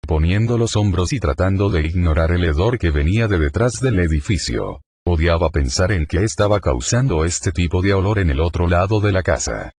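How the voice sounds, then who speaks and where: Very deep-voiced man reading a story. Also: expressive, normal speed, hardly any pauses, darkish tone, a little hiss or hum. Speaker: narrator. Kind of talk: fan fiction audiobook reading.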